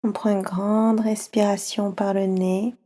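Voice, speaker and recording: Slow, soothing woman guiding relaxation. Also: mid-range voice, flat delivery. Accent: French. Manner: soft, slow, soothing, with pauses. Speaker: yoga nidra guide for children.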